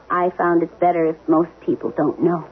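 A woman's voice: on the low side, slight background noise, natural voice. Woman acting frank middle-aged wife, thin radio sound. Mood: wry, confiding. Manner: wry, plain-spoken, emphatic. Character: frank, wounded middle-aged wife, former chorus girl. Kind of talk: 1970s radio drama acting. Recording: lo-fi phone-like old radio broadcast, music behind.

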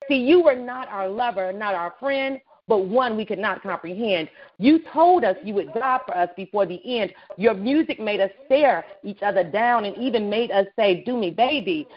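A woman performing a spoken word poem at an open mic, with a rhythmic, measured delivery. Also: medium pitch. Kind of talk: spoken word poetry recital. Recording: dull lo-fi online radio audio.

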